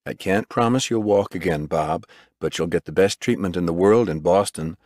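Audiobook role: older male surgeon, grateful, emotional, low soft voice , done by a male narrator. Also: moderate pace, dry recording, clean studio sound, close to an everyday voice. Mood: reassuring, moved. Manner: soft, earnest, reassuring.

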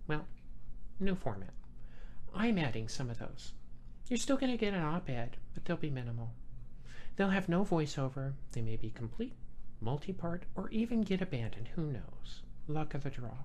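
A woman with a low, bass-heavy voice speaks as a video host in a scripted monologue. Her delivery is dry and sardonic, broken up by dramatic pauses. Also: big pitch swings, darkish tone, a little hiss or hum. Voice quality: deep, bass-boosted voice. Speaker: video creator and host of a retro gaming channel. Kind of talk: scripted monologue channel update.

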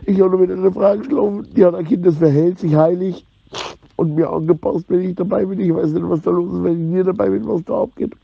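A man's voice: sits high, fairly even intonation, quick pace, vocal fry, slightly rough. A middle-aged man, delivering a rambling, repetitive informal monologue in slangy language. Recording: dull lo-fi recording.

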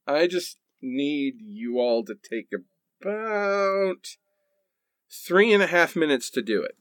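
Man playing a goofy, animated reluctant hero. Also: on the high side, slow pace, no room sound. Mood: mock reassuring. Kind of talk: comic radio play acting.

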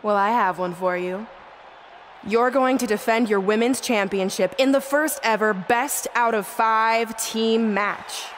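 Game cutscene general manager woman acting: imperious, emphatic, declarative, slightly high, light crowd ambience behind. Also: a little slow, slight background noise, close to an everyday voice. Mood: commanding. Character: self-assured, imperious female wrestling show boss. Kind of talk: video game acting, arena announcement on microphone.

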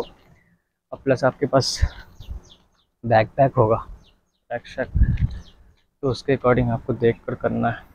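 A man's voice: mid-range voice, a little mellow, muffled recording. A man vlogging as he walks outdoors, chatting to viewers and giving them casual, instructive advice. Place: outdoors, walking in the sun.

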